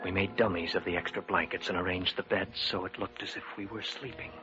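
An actor playing a haunted, earnest man who narrates his uncanny ordeal in a measured, serious way for an old radio drama. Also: deep voice, steady tone, music in the background, close to an everyday voice. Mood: serious, recounting. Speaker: narrator and protagonist. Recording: muffled old radio broadcast.

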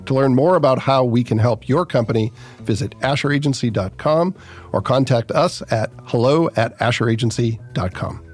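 A man's voice: medium pitch, soft background music. A man hosting a marketing podcast, asking questions in a friendly, conversational way. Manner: friendly, asks questions with quick agreeing replies. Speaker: podcast host. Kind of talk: conversational interview.

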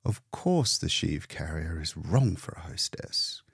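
A male narrator plays a bleak, mocking painter in a story. He talks slowly and with a gravelly husk, wry and falsely courteous, as if needling whoever listens. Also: extremely low pitch, expressive, fairly bright tone, no echo, studio quality, exaggerated voice. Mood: mock delight. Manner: wry, mock-polite, needling.

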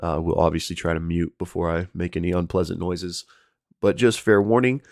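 Low-voiced man recapping, getting over a cold. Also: a little fast, no echo, clean studio sound. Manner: relaxed, laid-back, with frequent fillers. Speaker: podcast co-host. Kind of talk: casual chat and episode recap.

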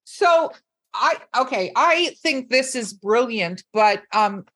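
A woman's voice: on the high side, animated, slow, a bit bright. A woman hosting a casual interview, chatting in an enthusiastic, blunt way. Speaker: podcast host interviewing an author. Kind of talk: casual chat.

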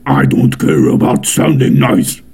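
Man doing a scornful coronavirus villain, gravelly and dark, in a roomy room. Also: medium pitch, sing-song, unhurried, full character voice. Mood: menacing. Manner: menacing, blunt. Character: menacing male coronavirus, cold and scornful. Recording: roomy, with faint guitar music.

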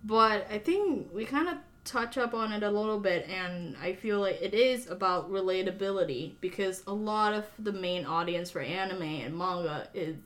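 A woman's voice: medium pitch, normal speed, hardly any pauses, fairly bright tone. A woman who speaks English as a second language, hosting a casual, chatty podcast in an informal manner. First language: not English. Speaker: podcast host.

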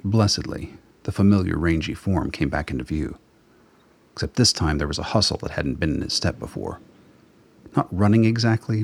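A man's voice: rumbling low, creaky, breathy edge, no echo. A man narrating a scripted story for a radio play.

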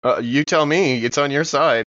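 A man's voice: mid-range voice, moderate pace, fairly bright tone, no echo, dull audio. A man playing a tabletop role-playing game, casually improvising what his character says and does. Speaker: tabletop game player on a podcast.

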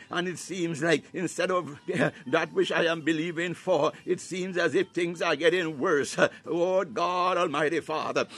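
Male prayer leader, slightly high voice. Fervent and declamatory, improvising in short bursts with repeated devotional exclamations. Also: a bit bright.

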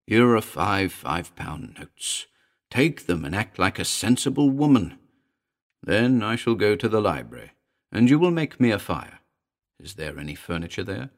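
A man's voice: on the low side, sing-song, normal speed. A man voicing an agitated, commanding male character in a dramatic audiobook, fervent and emphatic. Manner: fervent, emphatic, urgent pleading.

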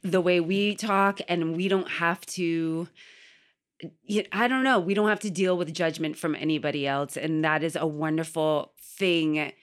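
A woman's voice: low voice, steady tone, measured pace. A woman journalist, a podcast guest, explaining things in an affirming, conversational way. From Puerto Rican heritage.

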